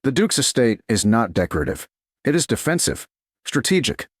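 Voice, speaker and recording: A man giving measured, dramatic scripted narration of a storybook romance. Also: medium pitch, big pitch swings, no room sound, clean studio sound.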